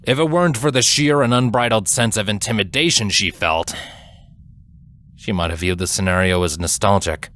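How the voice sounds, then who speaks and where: A man reading scripted dramatic fiction as a narrator, in a measured, solemn storytelling manner. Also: fairly low pitch, fairly bright tone, a little hiss or hum.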